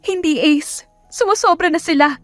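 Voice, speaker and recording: A woman performs a young provincial woman from an audio drama, high-pitched and emotional. She is defiant and earnest, pleading with indignation, over music in a dry recording. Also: moderate pace, dry recording. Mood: indignant. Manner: pleading, rising into angry outbursts. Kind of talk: dramatic audio story acting.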